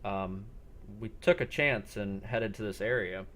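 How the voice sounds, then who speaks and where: A man's voice: on the low side, unhurried, bright, forceful tone. A man playing a shocked, foul-mouthed boss in his mid-fifties in a tabletop survival game. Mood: wary, curious. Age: 50s.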